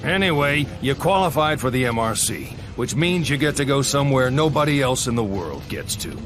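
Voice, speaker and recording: An actor playing a gruff, folksy, teasing old crew chief in a racing game. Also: male voice, mid-range voice, lively intonation, moderate pace, noisy background, close to an everyday voice. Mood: gruff, dismissive. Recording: loud music bed behind voice. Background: loud music.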